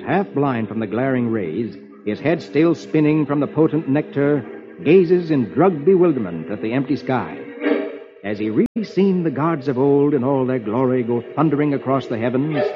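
A man's voice: mid-range voice, animated, normal speed, natural voice. A man playing a genial reader of comic strips to children, with theatrical 1940s radio storytelling delivery. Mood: genial, dramatic. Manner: theatrical, dramatic. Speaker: host reading the comics. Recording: dull old radio recording with music.

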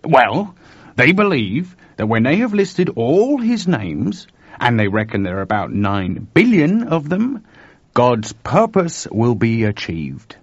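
A man's voice: mid-range voice, no echo, voice pushed up. A man voicing an anxious, urgent male technician in a story reading, recorded dull and dry.

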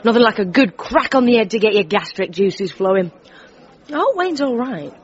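A woman playing a bossy, breezy, down-to-earth character with a British accent in a comedy audio drama, brisk and scolding. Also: mid-range voice, expressive, husky, some chatter behind. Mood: cheerful. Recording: dull recording with slight hiss.

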